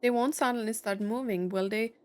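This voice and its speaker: A woman's voice: on the high side, a little fast, darkish tone. A young adult woman giving casual let's play commentary, musing aloud with jokey asides. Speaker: gaming streamer.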